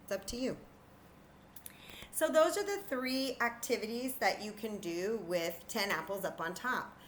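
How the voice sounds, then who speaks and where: A woman's voice: mid-range voice, lively intonation, a little slow, slight background noise. A woman teaching young children in an interactive demonstration, patient and encouraging, thinking aloud as she goes. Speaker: children's story time teacher.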